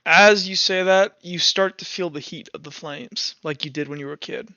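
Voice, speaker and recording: A man narrating a tabletop roleplaying game as game master, improvising in halting phrases with pauses mid-sentence. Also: on the high side, pushed and bright, no room sound, lo-fi sound.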